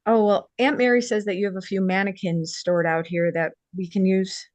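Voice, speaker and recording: A woman playing a warm, earnest young businesswoman in a script table read. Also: mid-range voice, steady tone, normal speed, no echo, close to an everyday voice. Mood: sincere, impressed.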